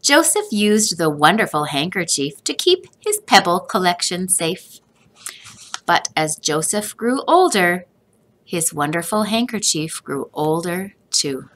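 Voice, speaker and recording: A middle-aged woman reading a children's picture book aloud as a teacher, expressive and deliberate, with sing-song repeated phrases. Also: fairly low pitch.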